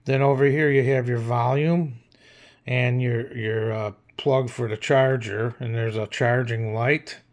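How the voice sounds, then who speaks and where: A man's voice: medium pitch, fairly even intonation, measured pace. A man giving a casual hands-on product review in an unscripted, plain-spoken, hesitant way. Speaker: radio reviewer.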